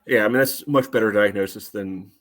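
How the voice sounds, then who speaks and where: A man's voice: fairly low pitch, brisk, a bit bright. An American man co-hosting a soccer podcast, analyzing play casually and thinking aloud with a lot of "you know".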